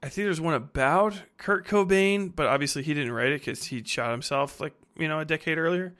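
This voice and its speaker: A man's voice: on the high side, a little fast, a bit bright. A man hosting a casual podcast book discussion, talking in a rambling, conversational way with frequent swearing. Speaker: podcast host.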